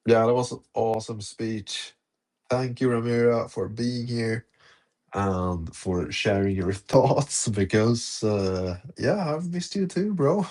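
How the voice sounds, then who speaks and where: A man's voice: medium pitch, takes it slowly, a little mellow. A man hosting a casual live audio-room podcast chat, laid-back and chuckling between phrases. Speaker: podcast host.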